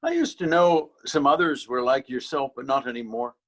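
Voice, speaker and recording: A man's voice: medium pitch, normal speed, fairly bright tone. An actor in a readers theater drama playing a stern, weary policeman, admonishing and authoritative. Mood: lecturing. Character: stern, weary Irish male beat policeman, bullying. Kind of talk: readers theater stage acting.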